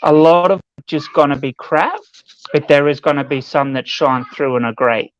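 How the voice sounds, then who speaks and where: A man's voice: on the high side, fairly even intonation, moderate pace, dry recording, lo-fi sound. A man on a podcast panel giving his opinions in casual chat.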